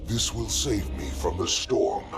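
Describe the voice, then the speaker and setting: An actor playing a grave, embittered, proud alien rebel warrior in a video game, solemn and portentous. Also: male voice, a little slow, natural voice. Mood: grave, urgent. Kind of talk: video game cutscene acting. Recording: loud music and engine rumble behind.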